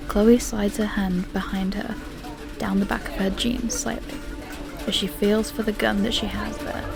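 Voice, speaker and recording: A woman's voice: medium pitch, creaky. A woman narrating an audio drama in a scripted storytelling style, describing the action as narrator.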